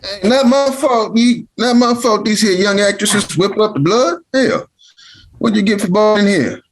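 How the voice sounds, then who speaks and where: A man's voice: sits high, expressive, normal speed. A man playing a cranky old uncle, grumbling and salty. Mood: indignant, crude. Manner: grumbling, folksy, salty-tongued. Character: cranky elderly uncle, crude, stubborn Southern family elder. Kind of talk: acted table read.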